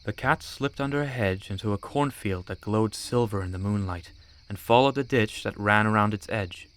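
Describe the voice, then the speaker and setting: A man's voice: on the low side, moderate pace, fairly bright tone. A man narrating an audiobook story from a script.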